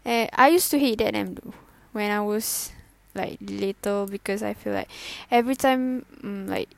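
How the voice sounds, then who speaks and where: A twenty-year-old woman, introducing her first podcast in a halting, self-conscious way, full of fillers and giggles. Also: medium pitch, vocal fry, no room sound. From Malaysia. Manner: hesitant, halting, with many fillers and self-corrections. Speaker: student podcaster. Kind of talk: casual solo podcast intro.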